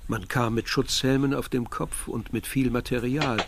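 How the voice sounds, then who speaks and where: Man acting villager narrator, reflective, measured, middle-pitched voice, scripted literary radio drama. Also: fairly even intonation, noisy background, natural voice.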